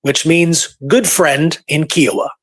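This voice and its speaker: Male history show host, voice a bit on the high side, informal and rambling, moving between notes and off-the-cuff talk. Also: slow, breathy edge. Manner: rambling, informal, thinking aloud. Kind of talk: casual history storytelling, partly reading from notes.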